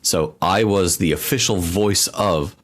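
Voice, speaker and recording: A man's voice: low voice, unhurried. A man co-hosting a podcast, chatting casually and telling rambling stories full of asides. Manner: rambling, animated storytelling with frequent asides. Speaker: podcast co-host.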